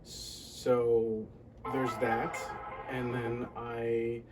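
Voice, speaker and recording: A man from the United States answering interview questions casually and reflectively, often pausing mid-sentence to find his words. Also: mid-range voice, monotone, far from the mic, over music, rough recording. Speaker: podcast guest.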